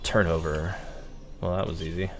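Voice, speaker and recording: A man livestreaming a video game with casual, rambling, halting commentary. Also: low-pitched, flat delivery, over music. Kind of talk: casual live gameplay commentary.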